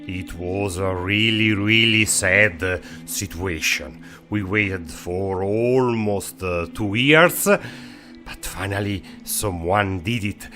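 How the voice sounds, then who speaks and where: Male game-show host: lowish, forceful voice, emphatic stop-start phrasing, informal. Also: slow, soft background music. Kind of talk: informal video review narration.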